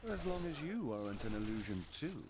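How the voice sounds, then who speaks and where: A man's voice: on the low side, very animated, brisk, noisy background. A man playing a cocky, flirtatious rogue in a fantasy video game, with a wry delivery.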